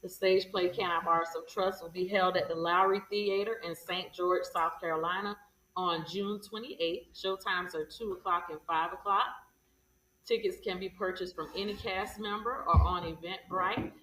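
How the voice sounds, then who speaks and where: A woman's voice: lowish voice, steady tone, moderate pace, a bit bright. A woman giving informative answers as an interview guest. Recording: echoey room, far from the mic.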